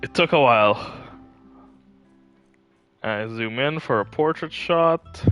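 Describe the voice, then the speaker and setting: A man's voice: mid-range voice, slow pace, clean tone, muffled recording. A man doing casual live gaming commentary, chatting and thinking aloud as he plays. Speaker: game livestreamer. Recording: music playing behind. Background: music.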